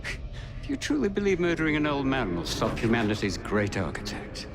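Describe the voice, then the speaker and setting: An actor playing a condescending old villain, a fanatical Victorian visionary, in a theatrical, scornful game cutscene performance. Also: male voice, mid-range voice, very animated, moderate pace. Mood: weary scorn. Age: older. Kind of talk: dramatic game cutscene acting.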